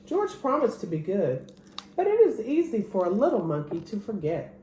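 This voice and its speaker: A woman reading a children's picture book aloud as an expressive storyteller. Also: mid-range voice, normal speed. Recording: roomy echo, clear background noise.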